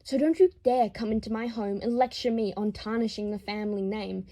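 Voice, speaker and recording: A woman's voice: medium pitch, a little fast, warm tone, close to an everyday voice. A woman voicing a wounded, defiant, fiercely protective grown son in an audio story, impassioned and confrontational. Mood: bitter, defiant.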